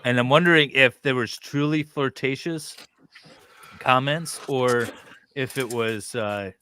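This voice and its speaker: A man reading a document aloud haltingly and breaking off for casual commentary. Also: mid-range voice, pushed and bright, clean tone.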